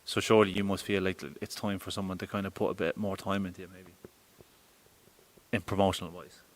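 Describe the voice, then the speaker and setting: A man's voice: low-pitched, little pitch movement, brisk. A young man interviewing a boxer, rambling and hesitant with many fillers.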